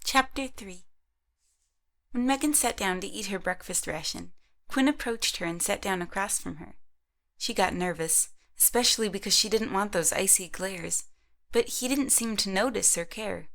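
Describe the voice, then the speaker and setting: A woman's voice: mid-range voice, normal speed, vocal fry, fairly bright tone. Woman narrating an audio drama story.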